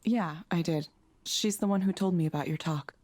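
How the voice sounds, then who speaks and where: Actress as low-voiced, guarded skeptical woman. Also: normal speed, darkish tone, no room sound, close to an everyday voice. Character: Evelyn, guarded skeptical woman, reserved newbie counsellor. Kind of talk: visual novel game voice acting.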